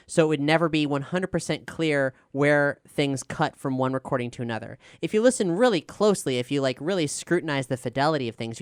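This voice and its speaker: Showrunner on a casual Q&A panel: crisp, close dry sound, talks through process conversationally. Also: medium pitch, no room sound. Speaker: showrunner and writer of an audio drama.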